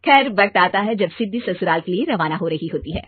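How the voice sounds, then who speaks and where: A woman's voice: medium pitch, lively intonation, fairly quick, slightly rough, dry recording, phone-quality sound. A woman storyteller narrating a scripted family tale.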